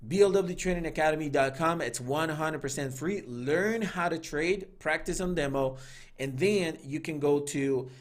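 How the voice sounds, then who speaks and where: A man's voice: fairly high pitch, moderate pace. A man hosting a trading signals livestream, talking casually and loosely with many fillers and restarts, and reading out the live chat. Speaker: trading signals group host.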